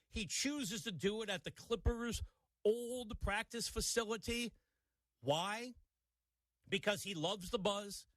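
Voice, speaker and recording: A man's voice: high voice, pushed and bright, dry recording. An older man hosting a sports talk radio show, giving an opinionated monologue with wry asides. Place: radio studio.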